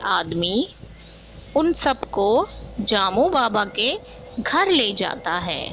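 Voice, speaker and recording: A woman's voice: mid-range voice, very animated, drawn-out words, fairly bright tone, a little hiss or hum. A woman narrating a scripted story.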